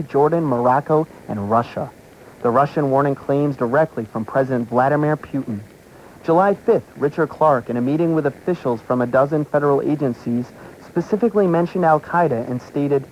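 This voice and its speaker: A man with a medium-pitched voice reads an article aloud as a radio host. His phrasing is deliberate and emphatic, and faint noise from a taped shortwave broadcast runs underneath. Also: darkish tone, muffled recording. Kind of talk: reading an article aloud on air.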